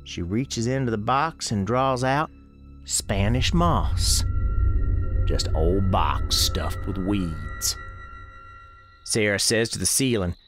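A man narrating a radio play as a gentle country storekeeper, with folksy, colloquial phrasing. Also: on the low side, animated, slow, pauses often, faint music behind. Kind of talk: first-person storytelling in a radio play.

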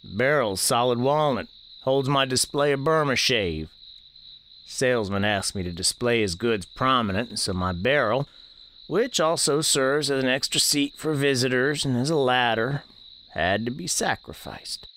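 An elderly storekeeper, played by a male actor, tells his tale in a radio drama. His voice sits at a middle pitch, folksy and deliberate, with a gently musing temper. A light bed of cricket-like night noise lies behind him. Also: close to an everyday voice. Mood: musing, unhurried. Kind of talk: first-person scripted narration, audio drama.

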